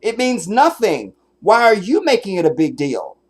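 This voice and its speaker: Brief: male streamer, slightly high voice, blunt and profane, hammering his points. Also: huge pitch swings, moderate pace. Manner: blunt, emphatic, swears often. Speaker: streamer commenting on a clip. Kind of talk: profane reaction commentary.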